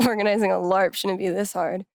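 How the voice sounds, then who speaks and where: A woman's voice: medium pitch, flat delivery, moderate pace, no room sound, clean studio sound, close to an everyday voice. An actress playing a laid-back, geeky young American woman in a video game, speaking in a casual, wry, playful way. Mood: friendly, upbeat. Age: young adult. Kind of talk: naturalistic video game character dialogue.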